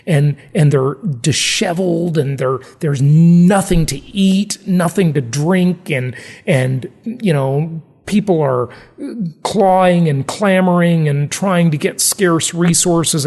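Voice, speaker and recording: A man's voice: on the high side, vocal fry, darkish tone, big-room sound. An American man hosting a podcast in a conversational, salesy way, repeating phrases for emphasis. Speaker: podcast host, real estate investing.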